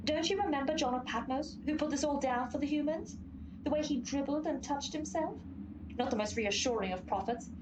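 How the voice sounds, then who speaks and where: Woman voicing a scheming, high-voiced pestilent horse. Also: brisk, dull audio, background noise, lightly acted. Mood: coolly persuasive. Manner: grandiose, silky, persuasive. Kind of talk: comic radio play acting.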